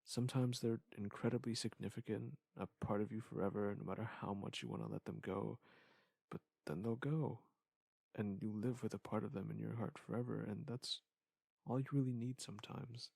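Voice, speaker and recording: A man acts out a wistful ex-lover with a low, gravelly voice, recorded close and dry. The delivery is halting and reflective, like an intimate audio drama confession left as a goodbye message. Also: fairly even intonation, darkish tone, dry recording. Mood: resigned, wistful. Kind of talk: intimate scripted audio monologue.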